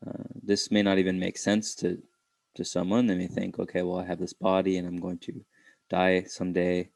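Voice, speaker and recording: A man leading an unscripted guided meditation, speaking reflectively in halting phrases with pauses. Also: low voice, completely flat delivery, darkish tone, dry recording.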